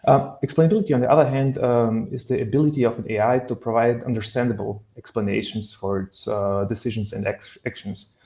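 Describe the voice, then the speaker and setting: A man from Bosnia and Herzegovina with a Bosnian accent explains things in a halting way. His middling-pitched voice comes through a video call with noticeable room echo and a slightly dull sound. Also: fairly even intonation, mellow. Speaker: AI researcher, panel guest. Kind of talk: explaining on an online expert panel. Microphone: headset on a video call.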